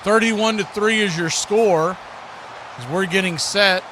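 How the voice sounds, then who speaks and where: Male play-by-play announcer, higher-pitched, live football call over clear background noise. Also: a little slow, sharp tone, low-quality recording. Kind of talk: live American football commentary.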